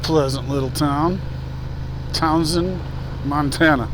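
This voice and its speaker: A man riding a motorcycle alone on an open highway, making short casual remarks as he goes, recorded on a helmet mic. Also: slow pace. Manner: thinks aloud, short remarks on the road. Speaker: solo motorcyclist. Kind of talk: casual ride commentary. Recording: road and wind noise from the helmet.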